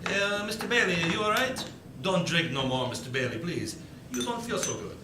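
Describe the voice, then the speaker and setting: A kindly, fretting bar owner acted by a man in a live radio play. His fairly high voice moves around a lot as he pleads in simple, broken sentences. The roomy recording has clear noise and rumble. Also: animated, a little fast. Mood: worried, pleading. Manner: fussing, pleading, broken grammar. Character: kind, worried male bar owner, simple and loyal.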